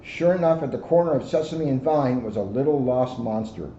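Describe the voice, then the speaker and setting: A police officer, a man, reading a storybook aloud to children in an expressive, deliberate way. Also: mid-range voice, dull audio. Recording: roomy, band-limited, faint background noise.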